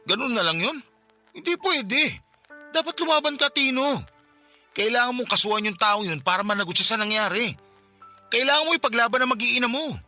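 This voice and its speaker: A man's voice: very high-pitched, lively intonation, brisk, bright, forceful tone, soft background music, heavily acted. A man playing a fiery, loyal Filipino friend. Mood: outraged. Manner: flares into angry outbursts. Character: loyal male friend and cousin, bank security guard, hot-tempered about injustice. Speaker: voice actor in radio drama. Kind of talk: Tagalog radio drama acting.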